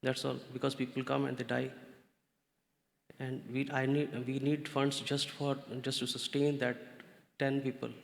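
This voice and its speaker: Halting gravelly man in an echoey hall. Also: mid-range voice, completely flat delivery. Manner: halting, many fillers and restarts. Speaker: hospice founder on a conference panel. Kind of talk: unscripted panel remarks. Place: conference hall.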